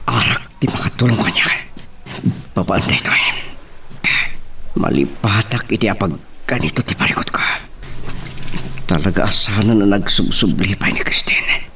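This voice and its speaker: Volatile, desperate drunkard played by a man, swinging pitch. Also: vocal fry, fairly bright tone, a little hiss or hum, full character voice. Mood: low, miserable. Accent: Filipino. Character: volatile, desperate alcoholic man abandoned by his wife. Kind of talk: Ilocano radio-style drama acting.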